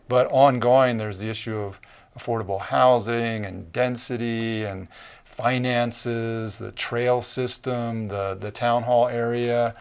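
A man's voice: mid-range voice, fairly even intonation, slow pace, clear, resonant tone. Older man hosting a studio interview. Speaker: TV interview host. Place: small TV studio set, indoors.

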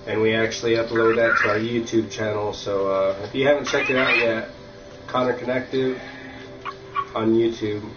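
A man's voice: fairly low pitch, flat delivery, normal speed, over loud music. A young man with a cold, chatting casually and rambling with lots of fillers while streaming a game from home. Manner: rambling, filler-heavy, easygoing. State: has a cold, sniffly. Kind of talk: casual live-stream chat while playing. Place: room at home. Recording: distant, roomy, dull lo-fi mic.

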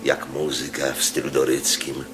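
An actor playing an aging Roman proconsul who speaks in a lofty, brooding, theatrical way in a radio play. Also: male voice, rumbling low, completely flat delivery, normal speed, over music. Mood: musing. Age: older. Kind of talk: 1980s-style radio drama.

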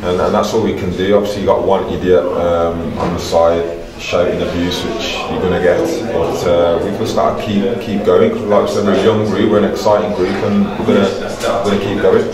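Deep-voiced football manager, echoey room. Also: male voice, level tone, brisk, hardly any pauses. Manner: colloquial, repeats phrases, addresses listener as mate. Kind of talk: casual post-match interview. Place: indoor stadium corridor with bare block walls. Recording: roomy, distant mic, crowd chatter behind.

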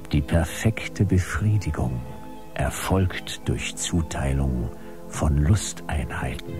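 An actor playing a solemn, authoritarian indoctrination speaker in a radio drama, reciting like an incantation. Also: male voice, rumbling low, exaggerated voice.

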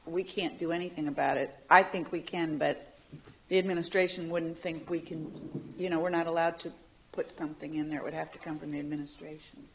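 A woman's voice: deep voice, steady tone, fairly quick, a little hiss or hum, rough recording. An older woman on a county council, asking questions at a desk microphone in a council chamber, with hesitant restarts. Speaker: council member. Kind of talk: council discussion.

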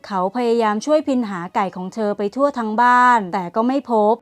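A woman narrating a bedtime story in a soft, unhurried way. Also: fairly high pitch, faint music behind.

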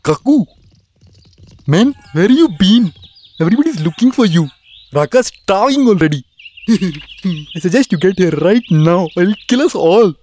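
An actor playing an anxious, slangy animal messenger in a cartoon, agitated and informal. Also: male voice, high-pitched, lively intonation, a little slow, darkish tone. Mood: anxious, urgent.

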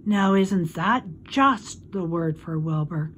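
A woman voicing a brisk, hearty male farmer who speaks cheerfully, in a storybook reading. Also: fairly low pitch, a bit bright, slight background noise, voice pushed down. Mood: admiring.

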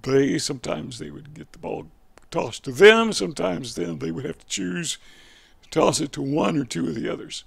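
An older man with a raspy voice, explaining casually to camera on a headset mic, folksy and digressive, often correcting himself. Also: fairly high pitch, animated, moderate pace. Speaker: video educator.

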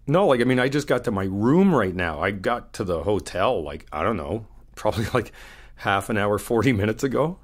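A man playing an easygoing, flirty hotel guest in an audio roleplay, chatting casually and haltingly in one-sided phone talk. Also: medium pitch. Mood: smitten, bashful. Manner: casual, halting, slangy, swearing.

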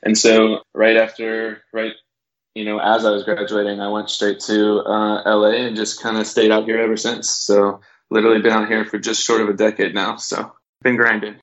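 A man's voice: lowish voice, completely flat delivery, moderate pace. An American man in his thirties from Texas, chatting casually as an interview guest on a slightly dull recording. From Texas, United States. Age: 30s. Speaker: film director, podcast guest.

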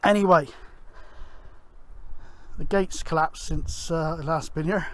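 A middle-aged man gives casual outdoor vlog commentary in a rambling, halting way, thinking aloud. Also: a little high, fairly bright tone, a little hiss or hum. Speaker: amateur radio vlogger, presenter. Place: outdoors on an open grassy hillside.

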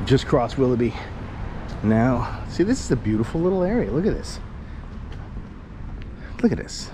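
A man's voice: mid-range voice, sing-song, moderate pace. Man, 40s, rambling vlog talk, action cam at arm's length, outdoor street noise. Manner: rambling, jokey, chatty self-talk. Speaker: vlogger. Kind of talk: casual walking tour vlog. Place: outdoors on a sunny residential street. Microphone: action camera held at arm's length. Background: street ambience and passing cars.

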